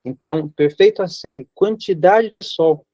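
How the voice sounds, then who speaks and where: A man's voice: high-pitched, unhurried, warm tone, dry recording, highs cut off. A young Brazilian man giving an informal health lesson, often repeating himself and adding fillers to stress his points. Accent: Brazilian Portuguese. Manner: repeats and rephrases for emphasis, frequent fillers. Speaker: health teacher. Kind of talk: informal teaching talk.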